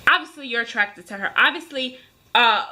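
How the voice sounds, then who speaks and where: Bright-voiced woman in her thirties, chatting candidly. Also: on the high side, animated, moderate pace. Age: 30s. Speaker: vlogger. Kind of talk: casual ranting chat to viewers.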